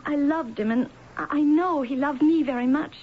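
An actress playing a frightened, desperate young wife in a 1970s radio drama, with halting, anxiously repeated words. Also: female voice, high-pitched, natural voice. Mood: troubled. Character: frightened, desperate young wife fearing her imprisoned husband. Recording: muffled, hissy 1970s radio broadcast.

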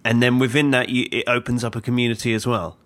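A man hosting an interview, asking friendly follow-up questions with short "yeah"s and quick laughs. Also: medium pitch, level tone, fairly bright tone. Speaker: interviewer, host. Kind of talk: casual interview.

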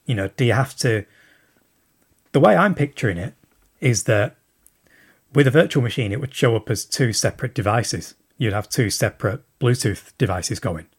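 A man with a husky, slightly breathy voice leaves a long recorded message for a show. He thinks aloud as he goes, circling back with plenty of ums. His voice sits at an ordinary middle pitch. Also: animated, normal speed. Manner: rambling, thinking aloud with many fillers. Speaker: listener sending in a question.

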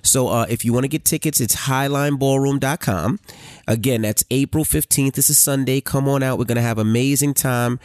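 A man's voice: mid-range voice, brisk, darkish tone, dry recording, clean studio sound. A man co-hosting a podcast with his wife, talking in a loose, repetitive way, full of fillers. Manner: loose and repetitive, many "right" and "I mean" fillers. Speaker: podcast co-host. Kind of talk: casual chat.

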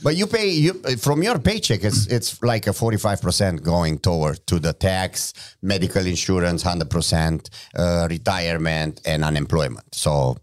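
A middle-aged man with a Romanian accent and a very low, deep voice. He speaks as a podcast guest in a relaxed chat, in halting English. His phrases come out short and listed, with frequent restarts. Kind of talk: casual podcast conversation.

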